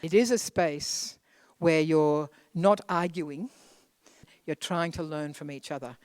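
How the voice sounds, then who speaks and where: A facilitator calmly briefing a group, speaking in an instructive way. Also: mid-range voice, takes it slowly, no room sound. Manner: calm, instructive.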